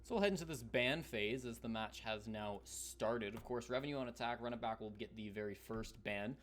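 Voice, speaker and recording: A man's voice: mid-range voice, moderate pace, a little hiss or hum. A man with a Canadian accent giving live esports commentary in a conversational, analytical way as a caster.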